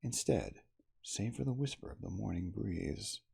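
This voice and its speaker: A man reading a classic novel aloud as ASMR, in a soft, intimate, slow dramatic storytelling manner. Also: deep voice, expressive, gravelly, breathy edge. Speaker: audiobook reader in character as the narrator.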